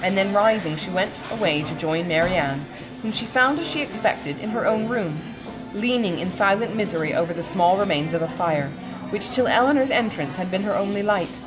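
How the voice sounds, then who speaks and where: Casting: female audiobook reader for literary narration, mid-pitched and expressive, with music clearly underneath. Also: normal speed, poor audio.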